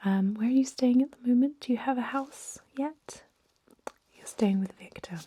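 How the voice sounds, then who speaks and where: A woman performs an ASMR roleplay in a breathy, mellow whisper, very close and dry on the mic. She plays a gentle vampire emergency doctor, reassuring her patient in soft, hesitant bedside patter. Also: fairly high pitch, moderate pace, no echo. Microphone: close microphone.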